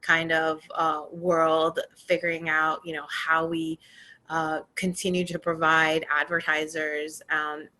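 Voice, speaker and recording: Woman, forties, bright tone, lowish pitch, thoughtful, many fillers, interview guest. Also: flat delivery, slow pace. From Iran and California. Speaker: podcast guest, tech program manager. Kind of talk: conversational interview.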